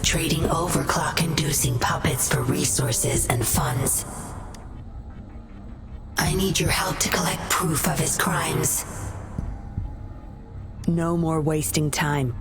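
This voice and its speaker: Stern undercover agent: low register, terse, controlled, commanding game character over prominent music. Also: female voice. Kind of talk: video game voice acting.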